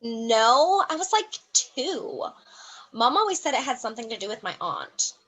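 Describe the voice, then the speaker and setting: A woman's voice: on the high side, big pitch swings, normal speed, a bit bright, slightly rough, exaggerated voice, poor audio. A woman playing a spoiled, bored young heiress in a comedic play, dismissive and flippant, with a drawling delivery. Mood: flustered. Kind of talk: comedic acting in an online play.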